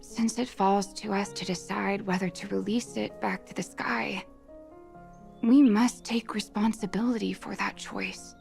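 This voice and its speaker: A woman's voice: mid-range voice, steady tone, measured pace, vocal fry, darkish tone, soft background music. A woman playing a serious, dutiful young boy, a cautious older brother in a video game, speaking with solemn care. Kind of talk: video game drama acting.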